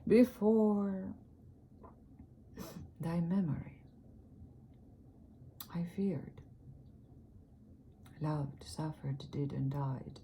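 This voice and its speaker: A woman voicing the weary, regretful ghost of an old male philosopher in a slow, partly whispered poetry reading. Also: sits low, animated, mellow, a little hiss or hum, exaggerated voice.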